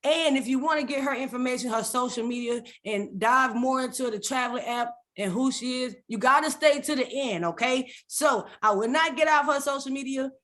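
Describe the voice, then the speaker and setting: A woman hosting a podcast with lively, energetic talk that repeats phrases and leads a prayer. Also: high-pitched, a little fast, few pauses. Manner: energetic, hyped, repeats phrases, stumbles on names. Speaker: podcast host.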